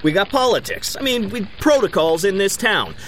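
High-voiced, blustering city mayor. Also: male voice, expressive, normal speed, fairly bright tone, a little hiss or hum, low-quality recording. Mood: indignant. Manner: hedging officialese, stammering, defensive repetition. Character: evasive, blustering big-city mayor, a defensive politician. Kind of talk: mock press conference in a comedic audio drama.

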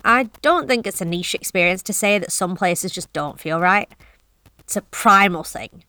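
An actress playing a delivery driver who tells a horror story in the first person, wry and confiding. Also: female voice, medium pitch, expressive, moderate pace, pushed and bright. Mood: uneasy. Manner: wry, confiding, self-deprecating. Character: wry, uneasy woman courier.

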